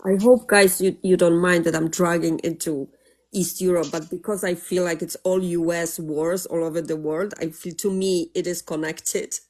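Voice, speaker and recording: A woman's voice: lowish voice, fairly even intonation, normal speed, a little mellow. A woman with a Polish accent chatting on a live stream, earnest and polite. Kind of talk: casual chat.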